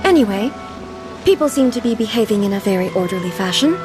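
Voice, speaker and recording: An actress playing a calm, dignified ruler who speaks formally and with composed authority in an anime game. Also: female voice, mid-range voice, fast talker, close to an everyday voice. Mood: calm, aloof. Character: dignified female ruler, ageless, calm, regal, aloof yet curious. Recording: loud background music.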